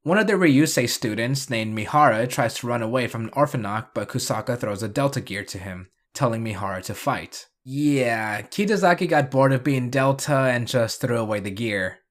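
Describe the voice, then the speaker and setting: A man's voice: medium pitch, animated, moderate pace, few pauses. A man narrating a scripted video review and recap, with dry, sarcastic asides.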